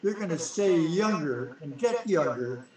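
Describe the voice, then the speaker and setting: Man, 90s, slightly high voice, insistent, repeats himself, stumbles, very echoey. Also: animated, a little slow, darkish tone, far from the mic, a little hiss or hum. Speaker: guest, sprout grower inventor. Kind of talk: casual interview.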